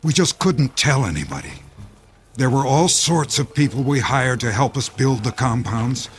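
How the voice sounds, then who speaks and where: An actor playing Jerry, a weary, resigned insider explaining the end of the world in a grave radio-drama manner. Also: male voice, mid-range voice, animated, moderate pace, a little hiss or hum, close to an everyday voice. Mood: grave, regretful. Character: Jerry, weary male think-tank insider facing doomsday, resigned.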